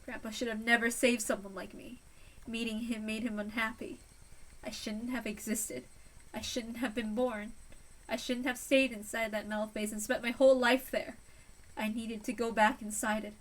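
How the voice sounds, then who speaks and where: A woman voicing a tormented, self-loathing female villain in a hushed, anguished, deliberate read-aloud of a visual novel. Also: on the high side, level tone, far from the mic, close to an everyday voice, rough recording.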